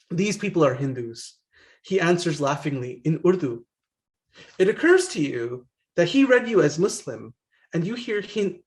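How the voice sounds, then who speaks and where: A man reading his own poetry aloud during a virtual workshop, pausing with soft fillers between poems, heard through a video call. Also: fairly high pitch. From born in London, family from Guyana. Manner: reads in second person, stops with soft fillers between poems. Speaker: poet reading his work.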